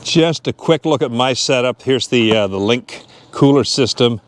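A man's voice: mid-range voice, moderate pace, slight background noise. A man in his fifties narrating a casual outdoor vlog in a chatty, joking way, recorded on a lapel mic outside. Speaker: vlogger. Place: outdoors at a boat launch and gas station. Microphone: lapel mic clipped to shirt.

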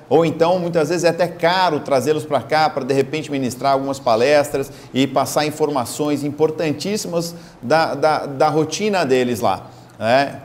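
A man in his thirties with a Brazilian Portuguese accent, giving earnest closing remarks in a studio on a lapel mic, with lively gestures and frequent fillers. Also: fairly high pitch. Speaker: guest professor in the studio. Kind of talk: closing remarks on a talk show. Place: studio set.

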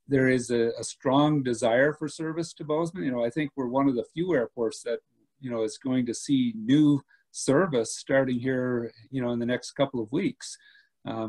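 A man in his fifties, an airport director, answering questions over a video call in a steady, measured way with many pauses and "uh"s. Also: medium pitch. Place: home room indoors. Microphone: laptop webcam on video call.

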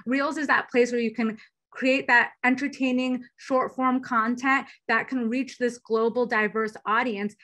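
A woman in her thirties talking as a guest in a fireside chat over a video call from a small room, upbeat and enthusiastic. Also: on the high side. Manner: upbeat, enthusiastic, quick and lively. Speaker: platform executive guest. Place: small bedroom indoors. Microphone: laptop webcam video call.

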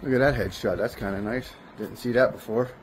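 A man's voice: mid-range voice, brisk. Man, casual explorer narration, plain mid voice, faint background noise. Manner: rambling, self-correcting, plain-spoken. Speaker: urbex vlogger. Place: outdoors and in a large abandoned industrial building.

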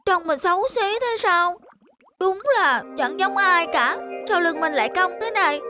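A very high, plaintive cartoon shrimp voiced by a woman, timid and sure she is ugly. She speaks in a tearful, self-pitying way. Music plays clearly behind her. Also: expressive, unhurried. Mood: dismayed. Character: timid, self-conscious female river shrimp. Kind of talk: cartoon voice acting.

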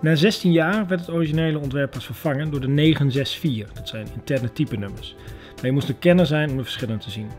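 A man's voice: medium pitch, a little mellow. A man with a Netherlands Dutch accent, working as a host and interviewer. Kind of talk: scripted narration and interview questions.

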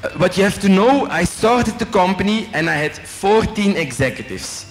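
A man's voice: high voice, animated, moderate pace. A middle-aged man from Belgium with a French accent, giving a chatty, joking business talk to students. He speaks into a handheld microphone, asks the audience questions and tags his sentences with "eh?". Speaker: company executive giving a guest lecture. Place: large hotel function room with a student audience. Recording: long reverb in a big hall, faint music.

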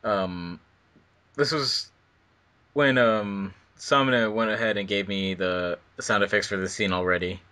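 A man giving casual commentary as an editor, speaking haltingly and tripping over his words. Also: on the low side, steady tone, sharp tone, clean tone.

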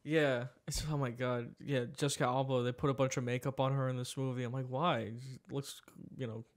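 A man's voice: mid-range voice, flat delivery, a little fast. A young man hosting a podcast in casual chat, profane and rambling, laughing often. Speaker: podcast host.

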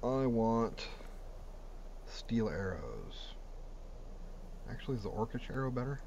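A man's voice: mid-range voice, lively intonation, takes it slowly, darkish tone, lo-fi sound, a little hiss or hum. A man giving casual, blunt and sweary commentary while he plays and reviews a video game. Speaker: gamer reviewing a game mod.

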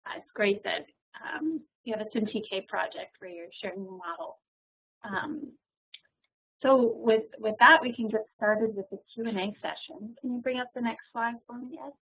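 A woman hosts the question part of a webinar, easygoing and filler-heavy. Her voice is a little husky, and it comes through thin and tinny like a phone line. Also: on the high side, lively intonation, moderate pace. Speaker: webinar moderator. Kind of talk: hosting a webinar Q&A.

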